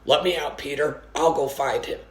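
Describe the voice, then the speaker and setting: A woman reading as a calm, practical father with a low-key, matter-of-fact manner. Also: moderate pace, roomy sound, lightly acted. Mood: calm, firm.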